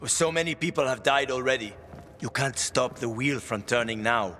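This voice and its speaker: A man's voice: mid-range voice, moderate pace, pushed and bright, slight background noise, lightly acted. An actor playing a cynical, bitter man cornered in a zombie apocalypse, curt and sardonic, in a video game cutscene. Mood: cold, menacing. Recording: music under the voice.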